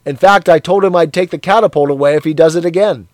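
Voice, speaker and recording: A cheery, blustering middle-aged man , put on by an audiobook narrator, booms out boasts in a raised, higher voice. He is zealous and loud, and the recording is dry and close. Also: quick pace, no echo, lightly acted. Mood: boastful.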